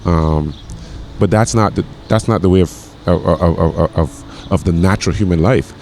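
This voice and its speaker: Deep-voiced man in his forties, rambling. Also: moderate pace, gravelly, a little mellow, a little hiss or hum. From Grenada. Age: 40s. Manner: rambling, with many repeated words and fillers. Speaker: podcast guest, chef. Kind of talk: casual conversation.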